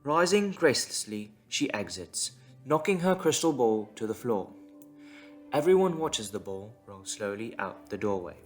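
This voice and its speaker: Guy narrating an audio drama, scripted storybook read, medium pitch, soft music under him. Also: big pitch swings, normal speed.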